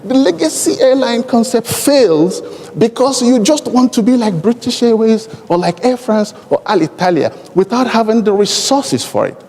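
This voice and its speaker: An airline executive holding forth at an African aviation conference: a Nigerian man, native Igbo speaker, deliberate and emphatic, his gravelly voice ringing through a big hall. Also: very high voice, animated, darkish tone. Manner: deliberate, emphatic, uses elaborate formal phrasing. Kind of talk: conference panel speech. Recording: long hall reverb from conference PA.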